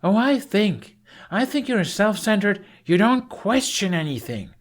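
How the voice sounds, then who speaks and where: A fierce young Viking warrior woman, acted out by a male audiobook reader, pitched well up and indignant. The delivery is heated and emphatic, every line pushed out like an accusation. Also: very animated, unhurried. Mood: outraged. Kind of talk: audiobook character acting.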